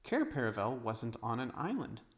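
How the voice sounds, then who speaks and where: A man's voice: mid-range voice, huge pitch swings, moderate pace. A man voicing an argumentative, skeptical schoolboy in a storybook.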